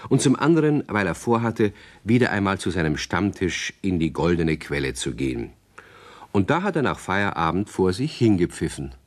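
A friendly storyteller for children, with a low voice that rises and falls expressively. His scripted narration is chatty and measured, addressed straight to young listeners. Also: sing-song.

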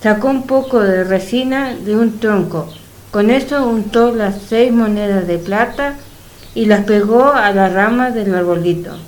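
A woman's voice: medium pitch, unhurried, a little mellow. A woman narrating a scripted story for a radio drama.

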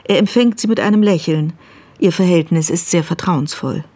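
A woman's voice: on the low side, normal speed, warm tone. Sober female radio drama narrator over music. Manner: sober, precise present-tense telling. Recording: music behind the voice.

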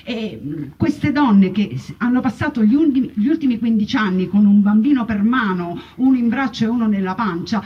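A woman's voice: on the high side, expressive, normal speed, few pauses, breathy edge. A woman performing a narrative monologue as a storyteller, with emphatic, rhetorical repetition and wry irony. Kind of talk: theatrical narrative monologue on wartime history. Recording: muffled, distant mic with background noise.

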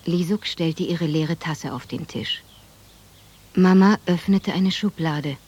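An actress playing an adult narrator who recalls her village childhood in a reflective, measured, husky voice in a radio drama. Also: on the low side, darkish tone, lo-fi sound. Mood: plain, recollecting.

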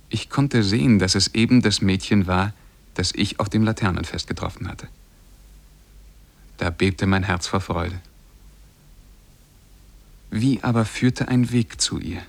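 An actor playing a lovestruck, shy young man who tells his tale in measured, poetic German radio drama style. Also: sits low, gravelly, no room sound. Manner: measured, poetic, careful diction.

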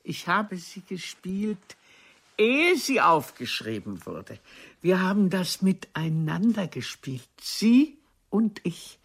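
A woman's voice: on the low side, sing-song, slow pace, breathy edge, exaggerated voice. An older woman playing an imperious, theatrical stage actress in a radio play, haughty, teasing and declamatory. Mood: grand, coquettish.

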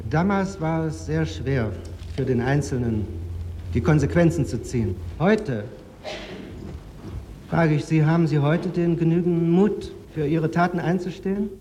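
A man questioning a defendant as a judge, measured, insistent and formal, on an archival courtroom recording. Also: on the high side, dark tone, long reverb tail, a little hiss or hum. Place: large courtroom.

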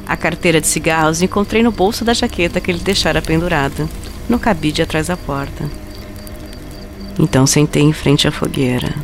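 A woman's voice: sits low, normal speed. Woman playing a chilling female murderer, methodical confession, intimate horror audio drama, faint music behind. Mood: cold, methodical. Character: macabre woman killer calmly recounting her lover's disposal. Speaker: voice actor narrating an audio drama. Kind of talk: dramatized first-person horror story narration. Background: background music.

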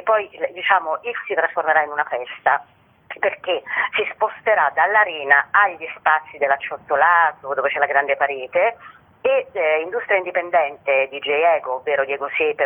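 A woman speaking as an interview guest, presenting an event programme in a hesitant way, searching for words and correcting herself. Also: sits low. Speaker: guest, theatre company member presenting a festival. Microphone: telephone. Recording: tinny, narrow-band phone line.

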